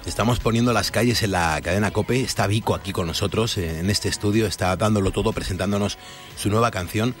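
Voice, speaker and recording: Eager low-voiced male radio host. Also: fairly quick, soft background music. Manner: enthusiastic, repeats phrases, stammers. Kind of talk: radio interview. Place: radio studio.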